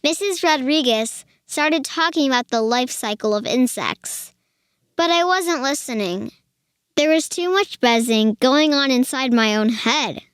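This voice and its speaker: A woman reading a children's storybook aloud in a deliberate storytelling manner. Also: high voice, expressive, clean tone, no echo, studio quality.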